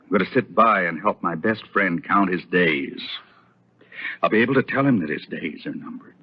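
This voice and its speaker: A very low, husky American male doctor, stern, dutiful and anguished. He speaks with brooding emphasis in an old soap opera, on a dull lo-fi vintage recording. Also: normal speed. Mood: bitter, agitated. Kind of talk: old-time radio soap opera acting.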